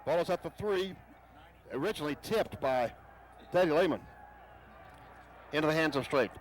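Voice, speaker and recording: A man giving radio play-by-play of a football game, rapid and building to excited shouts on big plays. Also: fairly high pitch, poor audio.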